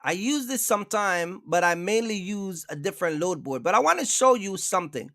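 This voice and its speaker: Male livestream host, emphatic and repetitive, bright voice, dry close sound. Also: sits high, animated, brisk, no room sound. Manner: emphatic, repetitive, keeps asking viewers for likes. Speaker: trucking channel host. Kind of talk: casual livestream rant.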